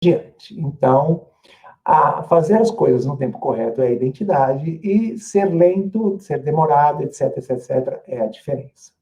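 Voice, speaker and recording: A middle-aged Brazilian man lectures teachers in a measured, deliberate way. He repeats each idea for emphasis. His voice sits a little high for a man, but the tone is very dark and mellow. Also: expressive, highs cut off. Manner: slow, emphatic, repeats points to the audience.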